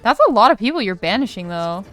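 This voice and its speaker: A woman's voice: medium pitch, lively intonation, quick pace, a bit bright. A woman giving casual, reactive gaming commentary, repeating herself and swearing now and then. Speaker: let's player. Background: game music.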